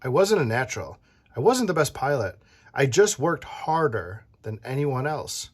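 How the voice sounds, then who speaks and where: An adult reading a children's picture book aloud, playful and animated, stopping to chat with the child listening. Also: medium pitch, normal speed, slightly rough. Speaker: read-aloud storyteller.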